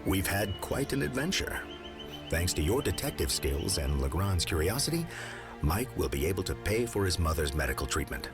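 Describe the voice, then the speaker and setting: A man playing a composed, benevolent gentleman detective in a game cutscene, speaking in a refined, measured manner. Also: low voice, very animated, music in the background. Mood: satisfied. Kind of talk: video game cutscene acting.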